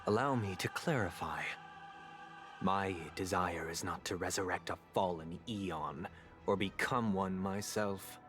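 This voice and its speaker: An actor playing a smug, grandiose video game villain who speaks in formal, theatrical oratory. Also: male voice, deep voice, a little slow, a bit bright. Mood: solemn, composed. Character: smug, grandiose male villain preaching order and paradise. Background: background game music.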